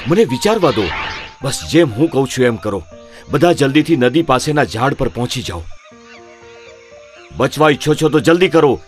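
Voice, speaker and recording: A man's voice: medium pitch, animated, moderate pace, pauses often, soft background music, lightly acted. A man voicing a brave monkey king in a children's cartoon story, commanding and urgent.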